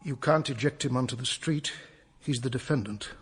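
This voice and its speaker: An actor playing a formal, deferential British prosecuting barrister with an orotund delivery in a radio comedy drama. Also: male voice, mid-range voice, moderate pace, very noisy, lightly acted.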